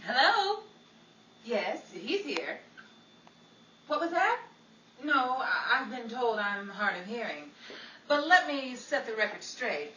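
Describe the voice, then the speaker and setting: Woman acting sassy high-voiced 1940s lady sleuth. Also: very animated, slow pace, pauses often, pushed and bright, recorded from a distance, dull audio, exaggerated voice. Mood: wry, sassy. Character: Betty Blackie, sassy, shrewd 1940s woman amateur sleuth. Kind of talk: 1940s radio comedy acting. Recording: echoey room, off mic, faint noise.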